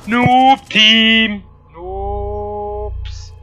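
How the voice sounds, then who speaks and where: Bright-voiced gamer man, slangy and crude. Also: high voice, fairly even intonation, very slow. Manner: slangy, crude trash talk. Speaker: gamer hosting a gameplay video. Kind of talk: casual gaming commentary. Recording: music behind the voice. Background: game audio and music.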